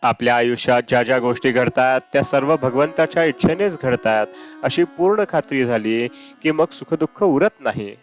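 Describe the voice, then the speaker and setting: A man reads a spiritual discourse aloud in a measured, deliberate way. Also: medium pitch, dull audio. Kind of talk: read-aloud devotional discourse. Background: Indian classical raga music with sitar.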